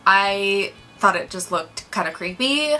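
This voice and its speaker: A woman's voice: mid-range voice, animated, a little slow, sharp tone, faint music behind, rough recording. A woman co-hosting a movie review, talking in a casual, chatty way.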